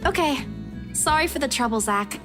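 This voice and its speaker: Polite young game heroine, high and animated, apologetic. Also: female voice, normal speed, music in the background. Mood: hesitant, polite. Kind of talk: visual novel game voice acting.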